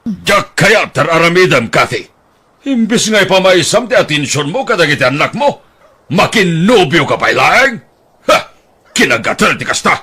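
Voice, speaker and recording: A man's voice: a little high, very animated, normal speed, pushed and bright, husky, full character voice. A man voicing a stern older father who scolds in an exasperated way. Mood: scolding. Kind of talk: Ilocano audio drama acting.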